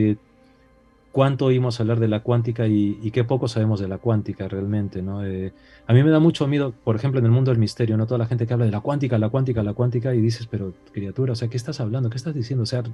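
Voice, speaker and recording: A man's voice: medium pitch, mellow, husky. A man from Peru with a Peruvian Spanish accent, a talk-show guest speaking in a reflective way with many hesitations and rhetorical questions. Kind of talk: casual talk-show discussion.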